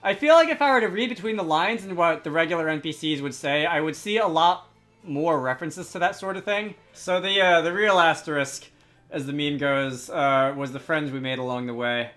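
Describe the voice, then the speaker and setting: A man's voice: a little high, brisk, a bit bright, faint music behind. A man giving casual commentary on a game as a let's player who reads its dialogue aloud.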